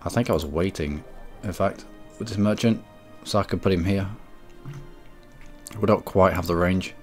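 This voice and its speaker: A man with a head cold streaming a strategy game, rambling and correcting himself, often clearing his throat. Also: sits low, moderate pace, faint music behind. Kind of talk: casual game commentary.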